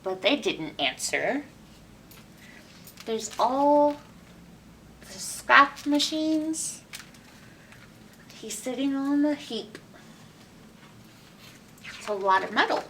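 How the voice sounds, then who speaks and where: A woman reads a storybook to young children in a high voice that swoops around expressively. She takes it slowly and asks the listeners questions. She sounds a bit away from the mic, with some background noise. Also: lively intonation, fairly bright tone, distant mic, low-quality recording. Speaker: library storytime reader. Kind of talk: read-aloud picture book storytelling for children, with asides.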